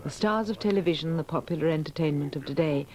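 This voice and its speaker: A man narrating a documentary in a measured, formal scripted style. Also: a little high, darkish tone.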